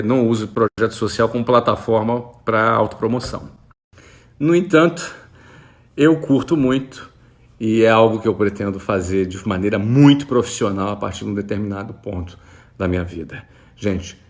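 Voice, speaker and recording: A Brazilian man in his forties, an entrepreneur talking casually to camera and answering follower questions in a relaxed, conversational way. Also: on the low side. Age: 40s. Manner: relaxed, conversational, with frequent fillers.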